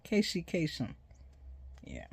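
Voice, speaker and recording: Older female host, 60s plus, unhurried halting delivery, close dry sound, informal educational talk. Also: fairly low pitch, huge pitch swings, darkish tone, husky, dry recording. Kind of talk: informal educational monologue on radio history.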